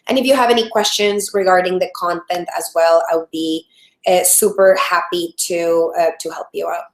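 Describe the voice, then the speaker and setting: A woman's voice: on the low side, fairly even intonation, normal speed. A woman in her thirties from El Salvador with a Salvadoran Spanish accent, hosting a live webinar training as a product strategist, friendly and full of fillers and restarts. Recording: roomy echo.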